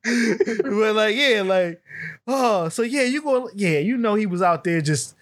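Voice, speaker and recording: A man co-hosting a casual music podcast, animated and laughing often as he acts out quotes. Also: sits high, a little slow. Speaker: podcast co-host. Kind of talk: casual chat.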